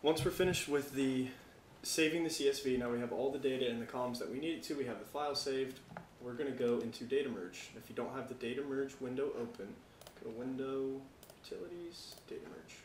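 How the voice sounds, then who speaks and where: An American man giving a casual software tutorial, talking informally and thinking aloud as he works. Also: mid-range voice, fairly quick, hardly any pauses, echoey room, slight background noise. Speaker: tutorial presenter.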